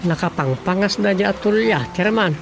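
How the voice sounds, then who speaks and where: Grave, disapproving sidekick, gravelly yet fairly high voice, Ilocano accent, radio comedy drama. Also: male voice, lively intonation, normal speed. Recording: music bed behind the voice.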